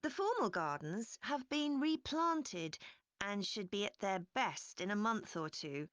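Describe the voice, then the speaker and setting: A woman's voice: mid-range voice, big pitch swings, a little slow, fairly bright tone, dry recording. A woman playing a council committee chair giving a scripted, orderly briefing. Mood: informative. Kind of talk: scripted public address for a listening test.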